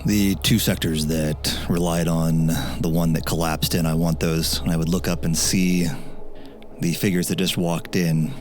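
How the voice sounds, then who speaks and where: Male tabletop player, very low voice, roleplaying in a fantasy game with clear background music. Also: little pitch movement, measured pace. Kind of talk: in-character roleplay and describing actions. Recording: music under the voice.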